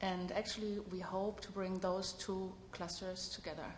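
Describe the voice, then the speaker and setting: A woman introducing herself at a meeting in a clear, orderly way. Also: medium pitch, level tone, moderate pace, a little hiss or hum. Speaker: economic development officer. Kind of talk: round-table self-introduction. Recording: echoey hall with faint ambience.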